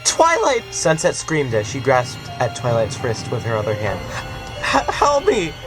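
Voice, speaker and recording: A woman's voice: extremely low pitch, huge pitch swings, a little slow, over music, exaggerated voice, rough recording. A man voicing a cocky, teasing teenage girl who turns to terrified screams. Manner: teasing and smirking, then screaming.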